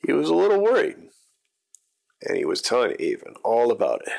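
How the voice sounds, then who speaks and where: A man's voice: mid-range voice, wildly swooping pitch, a little fast, gravelly, darkish tone. A man narrating an improvised bedtime story for children, with simple wording and emphatic repetition.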